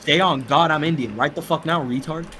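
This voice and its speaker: A man rattling off taunts and insults in a voice chat, repeating the same jab again and again. He talks very fast, and loud crowd chatter runs underneath him. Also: fairly high pitch.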